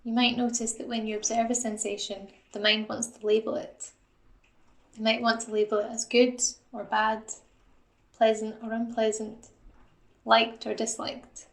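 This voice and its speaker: A woman leads a guided body scan meditation in a fairly high voice with a flat, steady melody. Her delivery is calm and gentle, with noticeable creak, and she gives each instruction softly. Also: normal speed, reverberant. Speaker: assistant psychologist guiding a meditation.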